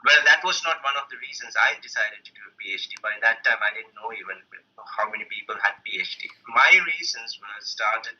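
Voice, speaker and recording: A man's voice: lowish voice, lively intonation, a little fast, hardly any pauses, sharp tone. A man with a Sri Lankan accent giving a conversational presentation with personal stories, as an academic speaker over an online call. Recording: muffled lo-fi online call audio.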